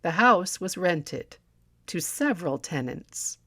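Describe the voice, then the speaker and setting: A woman narrating a story as an audiobook reader, in a theatrical, deliberate and expressive manner. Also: lowish voice. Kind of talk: dramatic scripted story narration.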